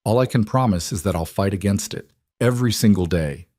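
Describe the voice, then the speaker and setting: Man acting male mafia boss, low pitch, cold resolve, protective, measured intensity, dry audiobook sound. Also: darkish tone, no room sound, natural voice. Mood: sincere. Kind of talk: audiobook character dialogue.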